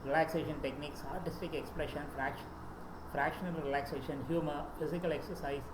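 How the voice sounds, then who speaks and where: A man's voice: fairly high pitch, fairly even intonation, fairly quick. A man with an Indian English accent, a native Tamil speaker, giving a presentation and reading out lists with occasional fillers and restarts. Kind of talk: lecture presentation. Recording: echoey room with background noise.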